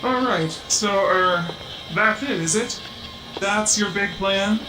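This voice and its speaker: A woman's voice: medium pitch, takes it slowly, echoey room, over music, close to an everyday voice, poor audio. An actress playing a sceptical, mocking female accomplice in an audio drama. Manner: sardonic, questioning.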